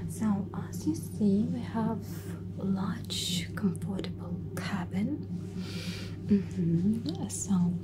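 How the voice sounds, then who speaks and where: A woman in her thirties whispering breathily in an ASMR flight attendant roleplay, with halting, hesitant phrasing, close to the microphone. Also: fairly low pitch, background noise.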